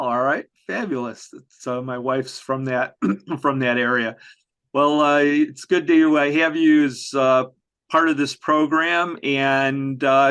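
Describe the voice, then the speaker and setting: A man's voice: medium pitch, a bit bright, clear, resonant tone. A man hosting an interview series from his home office, chatting easily with many fillers and restarts. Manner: friendly, with frequent fillers and restarts. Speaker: podcast host. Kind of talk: conversational interview.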